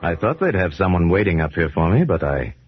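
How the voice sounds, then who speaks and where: An actor playing a cool, shrewd detective hero, brisk and commanding, in 1940s radio style. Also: male voice, low voice, sing-song, faint music behind. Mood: probing. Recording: muffled 1940s radio recording, faint noise.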